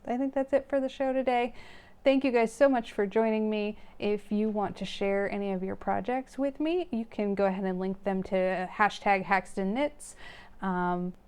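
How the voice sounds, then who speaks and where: Woman vlogger, relaxed and rambling, talks straight to her viewers in an ordinary mid-range voice. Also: mid-range voice, normal speed, clear, resonant tone. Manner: rambling, chatty, frequent fillers and soft laughs; friendly direct address to viewers. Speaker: knitting vlogger, host. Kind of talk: casual chat to camera.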